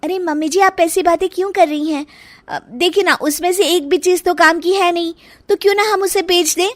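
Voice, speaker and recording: A woman voicing a sassy, sweet-talking young daughter-in-law in an animated story, with exaggerated, expressive delivery. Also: very high-pitched, normal speed, dry recording, voice pushed up. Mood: coaxing. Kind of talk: animated Hindi moral story acting.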